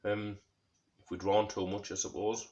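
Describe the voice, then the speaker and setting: Low-voiced man, casual DIY chat. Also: little pitch movement, moderate pace, a little mellow, no echo, highs cut off. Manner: self-deprecating, informal. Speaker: car DIY video host. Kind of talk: casual hands-on demonstration, thinking aloud. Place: in or at a car. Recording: clear background noise.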